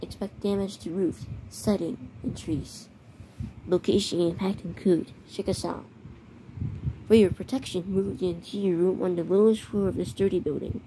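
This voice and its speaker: A woman reading mock emergency bulletins aloud in a halting way, stumbling over words. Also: fairly low pitch, steady tone, dark tone, a little hiss or hum, rough recording. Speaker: narrator of a homemade emergency alert scenario.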